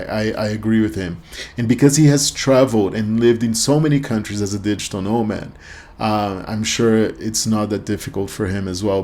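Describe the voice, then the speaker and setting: Low-voiced man hosting a chatty podcast. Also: a little mellow. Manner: rambling, many fillers and restarts. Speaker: podcast host. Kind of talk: casual reaction commentary.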